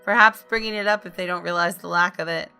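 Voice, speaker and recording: Bright-voiced woman narrating a story. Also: lowish voice, fairly even intonation, quick pace, clear, resonant tone, soft background music. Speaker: gaming video host reading aloud.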